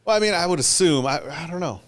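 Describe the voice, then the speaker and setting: A man's voice: on the high side, animated, quick pace. An American man chatting casually as a co-host on a podcast. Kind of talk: casual chat.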